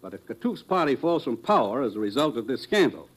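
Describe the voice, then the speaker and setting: An actor playing an authoritative male spy chief who briefs his agent in a measured way. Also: mid-range voice, sing-song, husky. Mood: grave. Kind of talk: 1950s radio drama acting.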